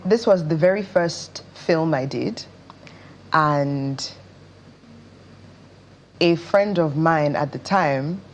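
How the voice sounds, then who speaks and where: A woman with a Nigerian accent giving measured, expressive answers as an actress being interviewed. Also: sits low, a little hiss or hum. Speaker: interview guest, stage and screen actress.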